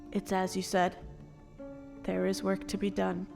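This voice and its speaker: A wronged noble acted by a woman speaks with earnest, formal drama, as a grieving parent pushed toward becoming an assassin. The voice is medium in pitch with a noticeable fry, over light music, in a dark fantasy audio drama. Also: monotone, measured pace. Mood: grieving, resolute.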